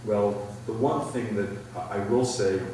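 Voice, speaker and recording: Middle-aged guy answering audience questions, lowish voice, stops and restarts a lot, far off in a big echoey hall. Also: fairly even intonation, dark tone. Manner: thoughtful, halting, many restarts. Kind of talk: Q&A at a public talk. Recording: echoey hall, far from the mic, faint noise.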